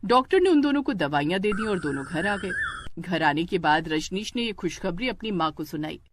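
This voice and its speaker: A woman's voice: lowish voice, lively intonation, moderate pace, no echo. Woman narrating a family story. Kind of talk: scripted Hindi family drama storytelling.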